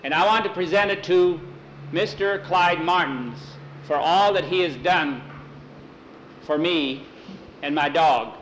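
A man's voice: fairly high pitch, takes it slowly, fairly bright tone. A man voicing an earnest, proud boy making a solemn little speech in a told story. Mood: solemn, grateful. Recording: clearly noisy recording.

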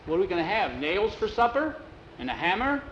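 A puzzled, easygoing fellow in a told story, voiced by a man. He questions things in broken English, slightly high in pitch, on an echoey recording with faint noise. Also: big pitch swings, a little fast, a bit bright, highs cut off, exaggerated voice.

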